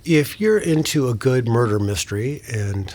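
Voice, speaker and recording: A man's voice: mid-range voice, expressive, normal speed, a little mellow. A man introducing a show as a casual podcast host.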